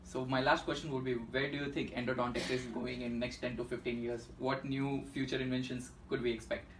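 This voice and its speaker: A man's voice: mid-range voice, little pitch movement, brisk. A young man interviewing a guest with brief, polite questions in a small indoor room. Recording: roomy sound from far off, faint hiss.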